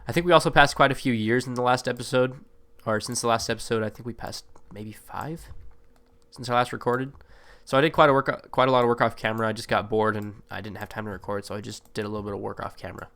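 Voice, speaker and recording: A man's voice: mid-range voice, steady tone, fast talker, fairly bright tone. A man with a slightly congested, allergy-stuffed voice, giving casual, rambling commentary over a city-building game with many fillers and self-corrections. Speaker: gameplay video creator.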